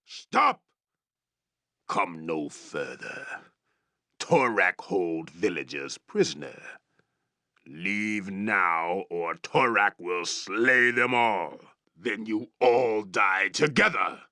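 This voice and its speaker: An actor playing a savage, proud orc chieftain in a fantasy video game, growling threats in blunt, broken English. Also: male voice, low voice, animated, extremely slow, sharp tone, no room sound, studio quality, exaggerated voice. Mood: threatening. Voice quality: gravelly growl. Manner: broken, blunt English with barked war cries.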